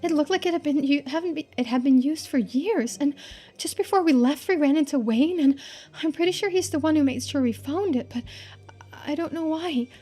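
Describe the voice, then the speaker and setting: An earnest young woman from a story game, acted by a female streamer, high in pitch. She confides bluntly and intensely in a rambling flow, with music behind her. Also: a little fast, warm tone, close to an everyday voice. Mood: urgent.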